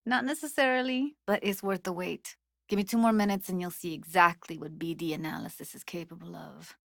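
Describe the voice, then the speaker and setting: A woman's voice: on the low side, steady tone, a little fast, creaky, dry recording, clean studio sound. A woman playing a guarded, streetwise young technician in a video game, speaking in a casual, slangy, blunt way. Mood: tense, irritated. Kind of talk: naturalistic video game voice acting.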